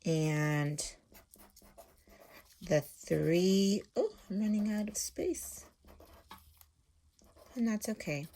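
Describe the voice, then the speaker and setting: A woman's voice: mid-range voice, drawn-out words, pauses often, warm tone, a little hiss or hum. A woman teacher explaining things simply to young children, talking casually as she draws and adding little check-in questions. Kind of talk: casual instructional talk while drawing.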